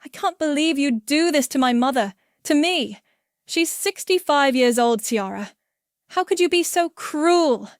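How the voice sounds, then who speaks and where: Sex: woman voicing a man. Figure: a woman playing a furious husband, accusing and pressing. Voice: fairly high, dry close sound. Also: animated, unhurried, no echo. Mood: angry. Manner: angry, pressing, raised voice. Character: furious middle-aged husband, pleading and blaming.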